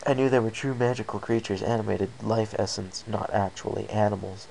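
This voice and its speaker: A man with a low, creaky voice reading a scripted first-person adventure story as narrator. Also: level tone, brisk, darkish tone, low-quality recording. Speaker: amateur story reader. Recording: faint background hiss.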